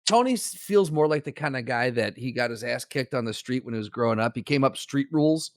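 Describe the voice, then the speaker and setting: A man's voice: medium pitch, fairly quick, dry recording, clean studio sound. A man co-hosting a podcast, chatting casually, swearing freely and joking as he argues a point. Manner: profane, animated, joking. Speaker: podcast co-host. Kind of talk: casual chat.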